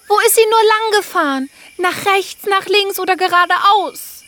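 A woman's voice: very high voice, measured pace, sharp tone, heavily acted. A woman voicing a brave, determined young girl, childlike and earnest, in a children's fairy-tale audio play.

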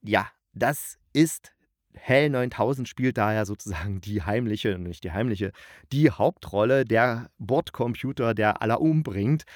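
A man's voice: mid-range voice, dry recording, clean studio sound. A man giving a casual spoken review in a chatty, colloquial, opinionated manner. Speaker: audio drama reviewer, host.

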